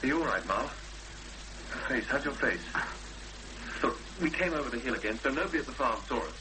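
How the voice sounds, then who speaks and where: An actor playing an angry, impatient fiancé, clipped and accusing, in a 1970s radio drama. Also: male voice, medium pitch, expressive, a little fast, lightly acted. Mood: urgent concern. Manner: clipped, accusing, pressing with blunt questions. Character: forceful, angry young fiancé confronting a victim, impatient and accusing. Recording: faintly noisy, humming old radio broadcast.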